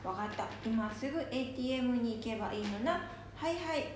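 A woman's voice: a little high, lively intonation, fairly quick, a little mellow, natural voice. A woman playing a gullible, stubborn elderly grandmother with a rustic Nanbu accent in an amateur radio drama. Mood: trusting, eager. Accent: Nanbu dialect, Japan. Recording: very echoey room, faint noise.

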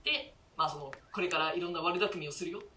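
A woman's voice: lowish voice, fast talker, bright, forceful tone, recorded from a distance. A woman hosting a casual, chatty round-up talk with her co-stars in a polite, easygoing way. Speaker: radio show host. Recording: roomy, off-mic, light background noise.

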